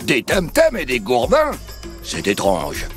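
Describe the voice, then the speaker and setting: A man's voice: mid-range voice, wildly swooping pitch, slow pace, soft background music. A man voicing a curious, easily amazed man in a cartoon dub. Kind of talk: cartoon dubbing.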